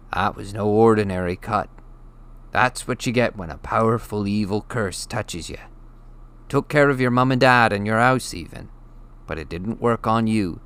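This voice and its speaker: Guy doing a huge kindly gruff giant for an audiobook, folksy and emphatic, rough grammar. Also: fairly low pitch, fairly bright tone. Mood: grave, intense. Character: big gruff kindly giant man, rustic and fierce. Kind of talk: audiobook character voice.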